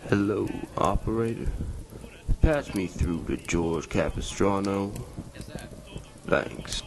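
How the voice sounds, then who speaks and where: A man's voice: sits low, slow pace, noisy background. An actor playing a cold, curt male gangster henchman with a terse drawl, in old time radio style. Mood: cold, menacing.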